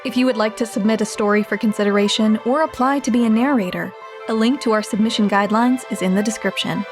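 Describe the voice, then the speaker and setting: A woman delivering the scripted intro and outro of an audiobook podcast in a clear, announcer-like way. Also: mid-range voice, quick pace, soft background music. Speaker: podcast host.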